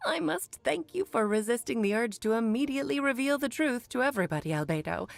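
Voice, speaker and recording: Playful, elegant witch, high voice. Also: animated, normal speed, vocal fry, no room sound. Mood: amused. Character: playful, elegant witch mage, fond storyteller. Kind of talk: video game voice acting, storybook narration.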